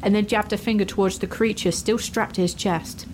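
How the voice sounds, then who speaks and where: A woman's voice: mid-range voice, steady tone, brisk, a little mellow, slight background noise, rough recording. A woman narrator reading a scripted fantasy story.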